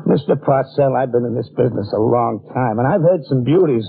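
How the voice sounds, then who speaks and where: An actor in a 1940s radio drama playing a skeptical, world-weary investigator with a dry, sardonic manner. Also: male voice, medium pitch, creaky. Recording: tinny, hissy old radio broadcast.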